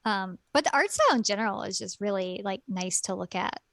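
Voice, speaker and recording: A woman's voice: medium pitch, animated, fairly quick, creaky, fairly bright tone, no room sound, clean studio sound. A woman co-hosting a casual podcast chat, rambling and giggly with lots of fillers. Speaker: podcast co-host.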